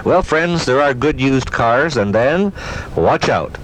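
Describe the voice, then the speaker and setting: A man's voice: mid-range voice, lively intonation, slow. Folksy male radio commentator. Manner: conversational, addresses listeners as friends. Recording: noisy old 1970s radio aircheck.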